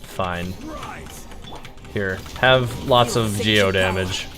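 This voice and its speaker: A man's voice: low voice, extremely slow. A man giving casual, easygoing live game commentary as a streamer, thinking aloud as he plays. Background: game music.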